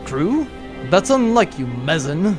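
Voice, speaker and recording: Guy doing a smug space rogue captain, theatrical, kinda high voice, animated, audio drama. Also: slow pace, over music, heavily acted. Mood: mocking. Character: rival male space rogue captain, haughty and teasing. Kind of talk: comic sci-fi audio drama acting.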